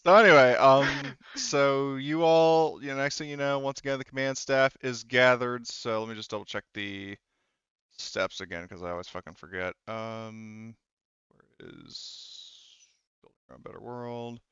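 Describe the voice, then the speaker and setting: A man with a smooth, clear voice leads a casual tabletop game as game master. He rambles and swears easily. The sound is dry and slightly dull, as if from a close, muffled microphone. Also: mid-range voice, steady tone, measured pace, a bit bright, dry recording. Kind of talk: casual tabletop roleplaying session.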